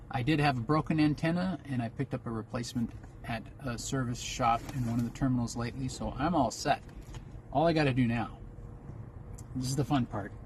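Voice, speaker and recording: Male vlogger, trucker type: casual, rambling, folksy explainer, mid-pitched, with vehicle ambience behind him. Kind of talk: casual unboxing vlog chat. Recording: clear background noise, vehicle ambience.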